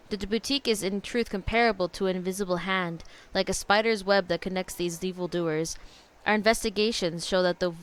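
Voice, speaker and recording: A woman's voice: mid-range voice, smooth. A woman streamer reacting casually to a video game, informal and opinionated, reading text aloud with some stumbles.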